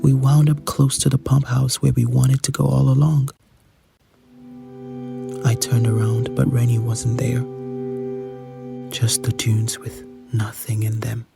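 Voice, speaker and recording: A haunted survivor , played by a man, narrates in a horror audio drama. The voice is heavily creaky, hushed and monotone, moving deliberately over music. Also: medium pitch. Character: haunted survivor of a chase among dunes.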